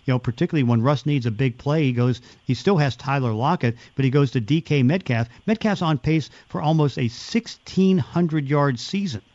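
A man's voice: mid-range voice, moderate pace, few pauses, a little mellow, no echo. A man hosting a sports podcast, giving conversational, explanatory football analysis in loosely linked phrases. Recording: slightly dull, dry lo-fi sound.

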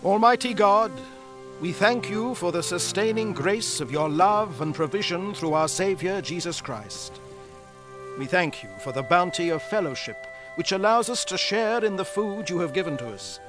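A man's voice: sits high, expressive, moderate pace. An actor playing a gracious, devout Pilgrim governor in a radio drama, genial and stately in his formal speech. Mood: reverent. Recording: faint music behind. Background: faint music.

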